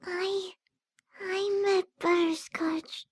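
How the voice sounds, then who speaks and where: A woman voicing a timid, gentle female pegasus pony with a hesitant, mumbling manner, in a scripted story reading. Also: very high-pitched, steady tone, extremely slow, heavily acted. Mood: nervous, mumbling.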